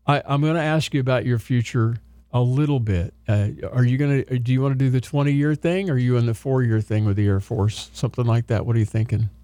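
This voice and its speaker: Upbeat, rambling male host from Oklahoma; warm, mellow voice, dry sound. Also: mid-range voice, fairly even intonation, quick pace, dry recording. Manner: chatty, upbeat, rambling with restarts. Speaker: radio host. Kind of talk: casual interview.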